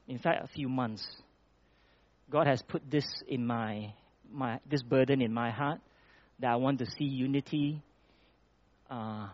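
A man's voice: medium pitch, no echo, dull audio. A man from Malaysia preaching a sermon, reading scripture with rising emphasis and then talking plainly with small restarts.

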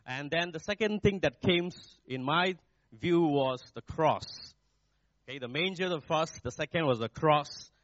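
A man with a Nepali accent delivers a guest sermon at mid pitch, pausing often as he searches for his words. The recording is dry and slightly muffled. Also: fairly bright tone, no echo. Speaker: guest preacher. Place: church. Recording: dull, dry sound.

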